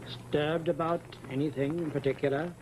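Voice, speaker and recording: An actor playing a grave, puzzled physician in an old-time radio drama, speaking in a measured, deliberate way. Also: male voice, on the high side, fairly even intonation, a little mellow, lightly acted. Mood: pensive. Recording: noisy old radio recording.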